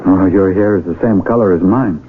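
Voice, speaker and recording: An actor playing an earnest, romantic mountain guide stranded among strangers in a radio drama, expressive and emphatic. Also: male voice, low voice, brisk, phone-quality sound, lightly acted. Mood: wondering. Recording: thin, hissy old radio recording.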